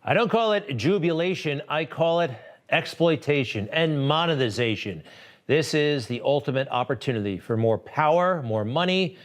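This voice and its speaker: Sardonic male political commentator. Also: mid-range voice. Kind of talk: opinion commentary to camera.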